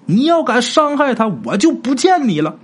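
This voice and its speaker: A man voicing a quick-witted young man who barks urgent, raised commands. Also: very high voice, sing-song, pitched above the performer's own voice, full character voice. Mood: threatening.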